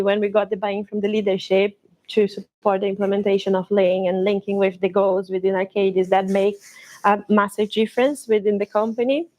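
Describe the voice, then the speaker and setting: A woman from Brazil in her thirties with a Brazilian Portuguese accent, presenting at a conference with some halting restarts. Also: medium pitch, darkish tone, poor audio. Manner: slightly halting, with restarts and fillers.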